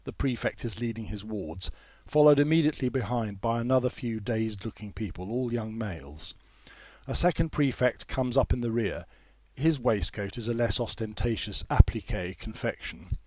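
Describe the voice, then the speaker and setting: A man narrating a literary story from a script in a precise, measured manner. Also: mid-range voice, a little mellow, dry recording. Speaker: author and narrator.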